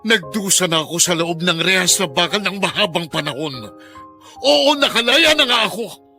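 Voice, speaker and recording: A man's voice: sits high, expressive, normal speed, pushed and bright, soft background music, exaggerated voice. A man playing an anguished ex-prisoner trapped by his past, in melodramatic radio drama style. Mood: anguished, bitter.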